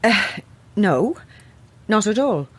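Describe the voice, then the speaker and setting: Guarded woman novelist, swooping pitch. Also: sing-song, takes it slowly. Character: sceptical female horror novelist, guarded, polite, easily rattled. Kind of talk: naturalistic audio drama acting.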